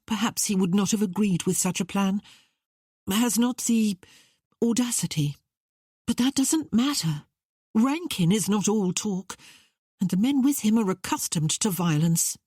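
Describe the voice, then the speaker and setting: A woman's voice: medium pitch, lively intonation, measured pace, dark tone, husky, no room sound, clean studio sound, voice pushed up. A woman voicing an earnest, impulsive young woman in an audiobook novel. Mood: persuasive. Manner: earnest, blurting.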